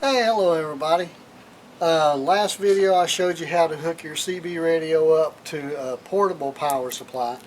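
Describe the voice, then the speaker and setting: Man, slightly high voice, casual demonstration, folksy and repetitive, noisy vehicle recording. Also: moderate pace. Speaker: vlog host. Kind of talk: casual how-to demonstration, talking while working hands-on. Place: in and around a minivan.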